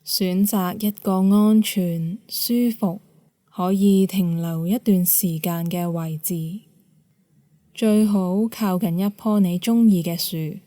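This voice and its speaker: A woman leads a guided meditation as an instructor, calm and measured, giving gentle instructions with pauses. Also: lowish voice, no room sound.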